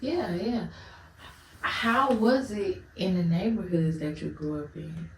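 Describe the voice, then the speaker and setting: A woman hosts a casual interview, backing her guest up with quick repeated replies. Her voice is low and gravelly, heard from off-mic in a roomy space with faint ambience. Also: distant mic, slight background noise. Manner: affirming, repeats short replies. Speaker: podcast host.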